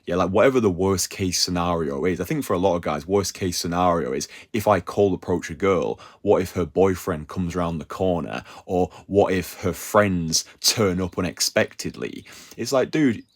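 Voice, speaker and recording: A young man giving dating advice in a casual, animated talk, peppering his speech with "like" and "yeah?". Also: deep voice, moderate pace. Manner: animated, slangy, full of "like" and "yeah?" tags. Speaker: dating coach.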